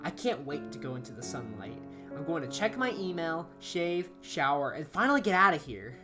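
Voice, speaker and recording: A woman reading a first-person horror story as an amateur narrator, expressive and dramatic, letting phrase endings drop to a hush. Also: sits low, normal speed. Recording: soft guitar music behind the voice. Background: plucked guitar music.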